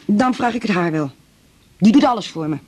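An actress with a Netherlands Dutch accent playing a stubborn, aggrieved and demanding patient in a radio drama, indignant and combative in manner. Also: female voice, medium pitch, lively intonation, fairly quick, a little mellow, lightly acted.

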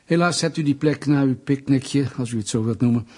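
An actor playing a patronizing, sardonic Soviet official in a radio drama, mock-polite and bullying. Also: male voice, medium pitch, a little fast, dark tone, lightly acted. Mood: condescending, mocking. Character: menacing, condescending male Soviet state official, bureaucratic bully.

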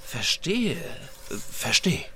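An actor playing a polite, deferential young interviewer in a radio play, speaking in brief interjections. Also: male voice, a little high, sing-song, extremely slow, gravelly, sharp tone, a little hiss or hum, exaggerated voice. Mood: polite, attentive.

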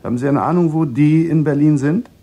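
An actor playing a composed, probing man negotiating a ransom in a radio play, with a husky voice and terse, businesslike questions. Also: on the high side, a little fast, warm tone, lightly acted. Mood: probing, controlled. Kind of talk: German radio play acting.

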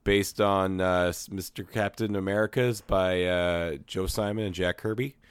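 A young man hosting a casual movie podcast, a little hoarse, talking with lots of fillers and restarts. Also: sits low, fairly even intonation, no room sound. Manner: halting, many fillers and restarts. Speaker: podcast host.

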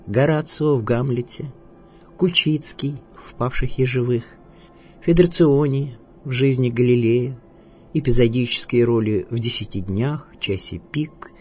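A man reading a scripted biographical narration in a measured, literary and declamatory way. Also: mid-range voice, darkish tone, a little hiss or hum. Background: music.

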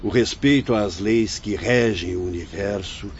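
A man acting a wise, patient spirit mentor in a radio drama, with a Brazilian accent and measured, preaching delivery. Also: on the low side, natural voice. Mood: earnest, assured. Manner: measured, preaching, formal oratory. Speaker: actor. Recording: dull lo-fi radio recording, background noise.

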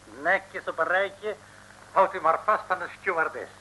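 A man's voice: high-pitched, unhurried, pushed and bright, a little hiss or hum, heavily acted, rough recording. An actor playing a fussy, polite male airline steward with an exact manner in an old comic radio play.

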